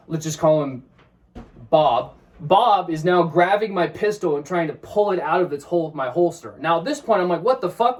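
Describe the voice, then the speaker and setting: A man with a high-pitched voice from Washington State, telling a story casually and agitatedly, swearing often and acting out what he said. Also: distant mic. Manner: agitated, swears often, acts out his own quotes. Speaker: vlogger.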